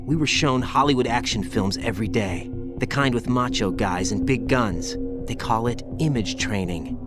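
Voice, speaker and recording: A man's voice: mid-range voice, moderate pace, natural voice. A man playing a haunted young special-forces operative and former child soldier in a video game, brooding, intense and confessional. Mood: bleak, confessional. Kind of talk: dramatic video game acting. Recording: dramatic music behind the voice.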